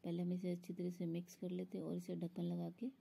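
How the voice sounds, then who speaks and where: A woman's voice: fairly low pitch, monotone, fast, gravelly, dark tone, breathy edge. A woman explaining a recipe step by step in an instructional voice-over, as a home cook. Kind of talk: step-by-step cooking tutorial voice-over.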